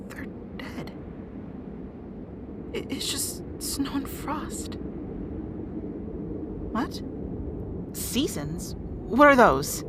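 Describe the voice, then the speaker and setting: Brusque rat princess, played by a woman, high voice. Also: background noise, exaggerated voice. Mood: uneasy, alert. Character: brusque, guarded young rat princess adventurer. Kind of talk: audio roleplay drama acting.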